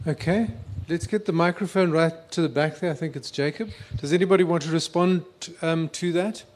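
Man moderating, slightly high, faint noise. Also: a little fast. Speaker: discussion moderator. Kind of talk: chairing a public discussion.

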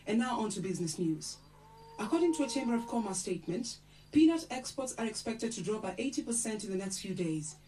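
Casting: woman playing quick, formal female newsreader on a radio with background hum. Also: mid-range voice, animated, dark tone, natural voice, poor audio. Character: brisk, formal female radio news presenter. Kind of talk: news bulletin.

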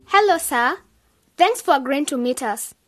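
A woman's voice: high voice, expressive, normal speed, no echo, soft background music. An actress playing an eager, image-conscious young woman in a radio drama. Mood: earnest.

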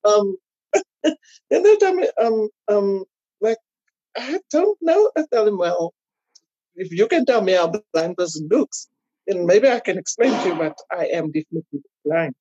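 A South African woman chatting casually as an interview guest, telling personal stories in an animated way. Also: mid-range voice, moderate pace, husky, no echo, highs cut off. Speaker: podcast guest.

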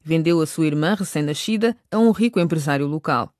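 A woman's voice: deep voice, brisk. A woman reading scripted narration as the storyteller of a radio drama. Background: music.

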